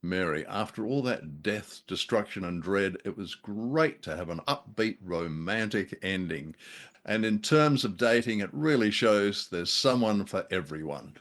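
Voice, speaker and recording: A man with a fairly low voice co-hosts a casual review show. He talks in a wry, tongue-in-cheek way, keeping it conversational. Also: lively intonation, measured pace, fairly bright tone. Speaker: podcast co-host.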